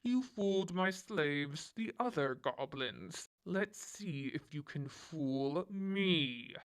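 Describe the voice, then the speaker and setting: A woman voicing a slow, looming goblin king. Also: low-pitched, animated, smooth, voice pushed down. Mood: menacing. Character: menacing male goblin king, huge and monstrous. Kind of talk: storybook read-aloud.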